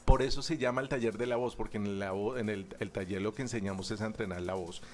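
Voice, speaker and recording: A man's voice: fairly low pitch, fairly even intonation, quick pace, a little hiss or hum. A man, a public speaking instructor talking as a guest in a radio booth, emphatic and stacking repeated parallel phrases. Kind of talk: radio interview guest explaining.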